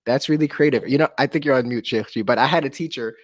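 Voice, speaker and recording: A man's voice: mid-range voice, fast talker, dry recording. A man reading a children's book aloud as a storyteller, with jokey, informal asides. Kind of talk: animated read-aloud with casual chat.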